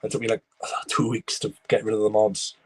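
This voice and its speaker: A man's voice: on the low side, monotone, brisk, breathy edge. A young Welsh man hosting a live football watchalong, talking casually about the game and answering viewers' comments in a loose, rambling way. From Wales. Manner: rambling, chatty, reads viewer comments aloud. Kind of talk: live match reaction and chat reading.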